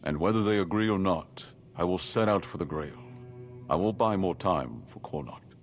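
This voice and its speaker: An actor playing a solemn, duty-bound noble lord in a game, speaking in a measured, stately way. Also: male voice, deep voice, expressive, soft background music. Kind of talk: voiced game dialogue.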